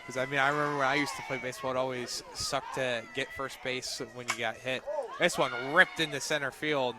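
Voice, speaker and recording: A young man doing live radio play-by-play of a softball game as the announcer. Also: mid-range voice, fairly even intonation, fairly quick. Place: outdoors at a softball field. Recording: outdoor ballpark noise, crowd behind. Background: crowd and outdoor ambient noise.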